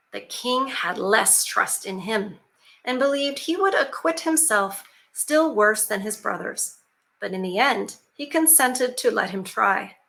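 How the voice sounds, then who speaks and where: Bright-voiced woman reading a fairy tale. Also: mid-range voice, gravelly. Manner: chatty, with fillers and asides to viewers. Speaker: YouTube storyteller. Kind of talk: reading a fairy tale aloud, with casual asides.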